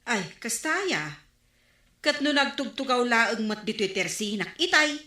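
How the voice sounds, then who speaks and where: A woman with an Ilocano accent playing a bossy, blunt neighborhood storekeeper, forceful and disapproving, in a radio comedy drama. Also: on the high side, lively intonation, measured pace, reverberant.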